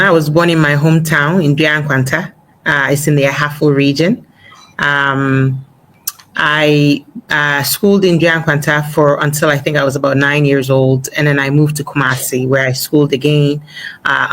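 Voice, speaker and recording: A woman in her forties, born in Ghana, chatting as an interview guest in a relaxed way, with easy laughter and plenty of fillers. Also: low-pitched, low-quality recording. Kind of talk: casual interview talk.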